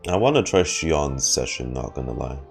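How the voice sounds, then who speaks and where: Very deep, gravelly male game streamer. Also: big pitch swings, normal speed. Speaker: streamer reacting to a video game. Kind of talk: casual game playthrough commentary. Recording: game music behind the voice.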